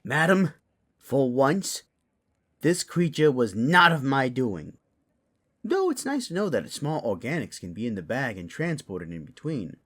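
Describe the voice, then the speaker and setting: A man's voice: mid-range voice, very animated, measured pace, fairly bright tone. A man playing an irascible, haughty lord in an audio drama, with an indignant, theatrical manner. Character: irascible, haughty lord guarding his precious artifacts.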